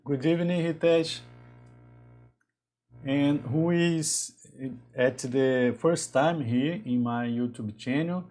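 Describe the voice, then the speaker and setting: A man in his thirties from Brazil with a Brazilian Portuguese accent, talking casually on a live stream in a halting way with frequent restarts. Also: on the high side, a little mellow. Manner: halting, restarts often, greets viewers. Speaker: engineer hosting a live stream.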